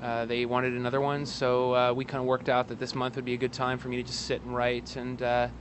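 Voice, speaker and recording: A man giving a casual interview as a guest, speaking in a hesitant, halting way with wry asides, outdoors in an alley. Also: medium pitch, monotone. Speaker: guest, actor and playwright. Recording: street noise, traffic outdoors.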